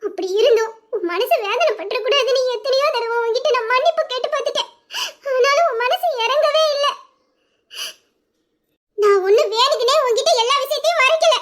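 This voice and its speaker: A woman's voice: very high voice, fairly quick, a bit bright. A woman playing a young woman who coaxes and pleads with her lover in an audio drama. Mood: tearful. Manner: pleading, coaxing, breaking into tears. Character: young woman, coaxing and tearful sweetheart.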